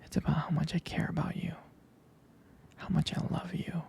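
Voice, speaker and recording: A man playing a jealous, protective and doting boyfriend in an intimate roleplay ASMR, speaking softly and hesitantly in whispers. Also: a little high, little pitch movement, moderate pace, gravelly. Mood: jealous, possessive.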